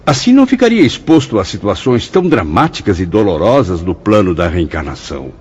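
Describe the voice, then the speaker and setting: A man's voice: lowish voice, big pitch swings, a little fast, husky. A man with a Brazilian accent playing an anguished, questioning spirit, theatrical and formal, in a radio drama. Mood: concerned, pleading. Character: anguished, questioning male suicide spirit, Camilo. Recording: muffled radio drama with music.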